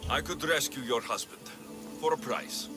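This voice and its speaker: A man's voice: mid-range voice, moderate pace, over music, close to an everyday voice. A male actor playing a confident, blunt mercenary hero in a video game cutscene, firm and matter-of-fact. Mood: cool, businesslike.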